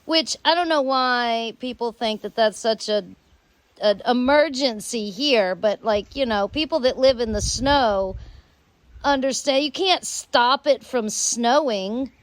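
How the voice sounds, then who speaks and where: An American woman telling rambling personal stories in drawn-out, halting phrases with long pauses. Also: a little high, no room sound, poor audio. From United States. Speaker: storyteller host.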